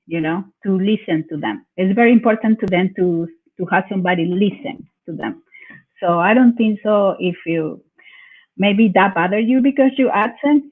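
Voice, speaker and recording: Venezuelan lady, spanish first language, halting answers, lots of uh, sounds thin like over a phone. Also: medium pitch. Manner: halting, searching for words, frequent uh. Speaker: bilingual parent educator. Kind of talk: conversational interview answers. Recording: tinny phone-like call audio.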